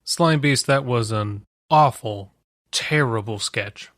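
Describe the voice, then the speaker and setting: A man's voice: medium pitch, takes it slowly, a bit bright, no room sound, clean studio sound. A man co-hosting a podcast in casual banter, teasing with mock-formal wording. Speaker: podcast co-host.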